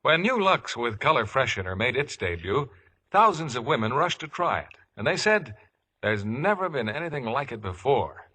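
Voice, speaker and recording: A man's voice: medium pitch, big pitch swings, normal speed, bright, forceful tone, breathy edge. A man announcing scripted commercials as a 1950s radio announcer.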